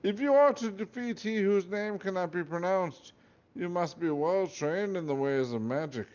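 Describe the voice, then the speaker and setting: A man's voice: high voice, expressive, moderate pace, darkish tone, voice pushed up. A man voicing a sleazy, lecherous old male wizard headmaster with a raised, leering voice. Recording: dull lo-fi recording.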